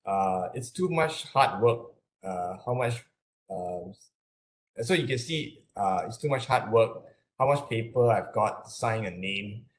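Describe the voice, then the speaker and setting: A man with a Malaysian accent lectures in a fairly low voice. He explains things step by step as an academic would in a webinar, in a halting way, often repeating words as he searches for the next phrase. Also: animated, a little hiss or hum.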